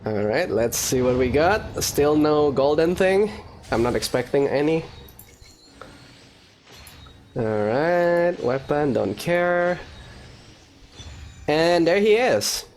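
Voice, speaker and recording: A man's voice: on the high side, expressive, slow, a little mellow. A man hosting a let's-play, giving informal gaming commentary and thinking aloud. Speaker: gaming video host. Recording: faint background noise.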